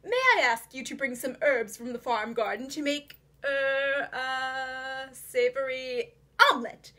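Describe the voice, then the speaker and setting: Woman putting on a sly gentleman fox. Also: sits high, fairly even intonation, unhurried. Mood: smooth, courtly. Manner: suave and formal, turning harsh and commanding. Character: sly, well-dressed male fox gentleman, falsely polite.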